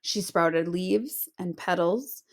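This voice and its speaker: A woman narrating a children's picture book aloud like a teacher, with expressive storytelling delivery. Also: fairly low pitch, takes it slowly.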